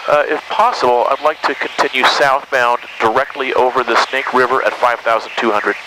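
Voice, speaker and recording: A man's voice: medium pitch, steady tone, fairly quick, noisy background. A man flying a small plane, making radio calls and casually commenting from the cockpit. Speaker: pilot narrating his own flight. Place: cockpit of a small airplane in flight.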